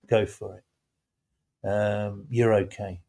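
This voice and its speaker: A man hosting a relaxed faith-based chat, talking reflectively with gentle emphasis. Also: lowish voice, extremely slow, vocal fry.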